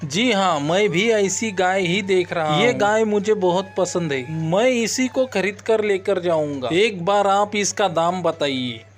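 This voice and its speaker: A man's voice: high voice, a little slow. A man playing a trusting, fretful middle-aged village father in a cartoon story, exclaiming and thinking aloud. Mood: polite, eager. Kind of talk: Hindi cartoon moral-story acting. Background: background music.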